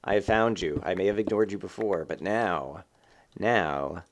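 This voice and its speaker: A man's voice: deep voice, measured pace. A man hosting a game let's play, thinking aloud in casual, rambling commentary full of fillers, sounding slightly stuffed up.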